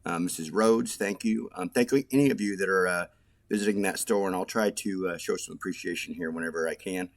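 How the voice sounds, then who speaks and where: A man hosting a casual podcast, relaxed and loose, with frequent pauses and fillers. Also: low voice, gravelly, no room sound. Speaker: podcast host.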